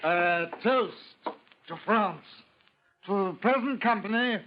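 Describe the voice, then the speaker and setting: Tipsy, high-voiced English gentleman, drawling. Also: takes it slowly, slight background noise. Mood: tipsy, merry. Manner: drunken, drawling, repetitive. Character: tipsy, exuberant young English gentleman, amorous and merry. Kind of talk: vintage radio horror acting. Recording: muffled old radio recording.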